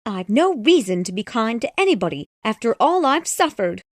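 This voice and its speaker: A man voicing a bitter, commanding old wealthy woman in a storybook reading, talking in a sharp, demanding way. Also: very high-pitched, sing-song, moderate pace, no echo, clean studio sound, pitched above the performer's own voice.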